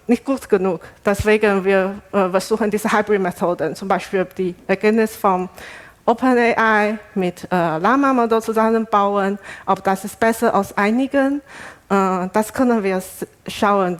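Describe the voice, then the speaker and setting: A woman from Taiwan with a Taiwanese accent and Chinese as her native language, giving a conference presentation as a researcher in a halting, hesitant non-native manner. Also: medium pitch.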